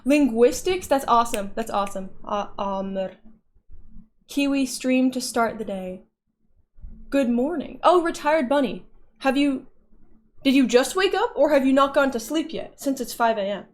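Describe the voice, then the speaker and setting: A woman's voice: sits high, lively intonation, a little slow. A woman streamer chatting casually with her viewers, playful and often laughing as she reads chat messages aloud.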